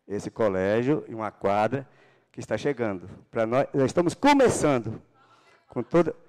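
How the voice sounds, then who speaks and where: A man's voice: medium pitch, big pitch swings, normal speed, a little mellow. A middle-aged man from Paraná, Brazil, gives a political speech to a council chamber, holding a handheld microphone. He talks with religious fervor and builds to emphatic bursts. The sound comes from a large indoor hall. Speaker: city councilman.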